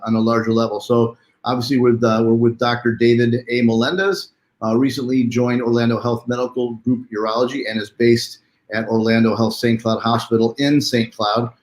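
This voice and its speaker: A man hosting a live online interview in a chatty, welcoming, conversational way, with a roomy sound. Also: mid-range voice. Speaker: host.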